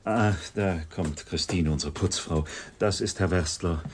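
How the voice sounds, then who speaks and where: Deep-voiced, guarded institute scientist. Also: male voice, a little slow, a little hiss or hum. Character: guarded, formal male research institute colleague. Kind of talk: radio drama acting.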